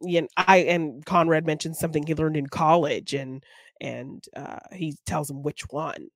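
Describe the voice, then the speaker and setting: A woman's voice: low voice, steady tone, normal speed, dry recording, clean studio sound. A woman co-hosting a podcast, discussing film casually and reflectively, often thinking aloud as she goes. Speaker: podcast co-host.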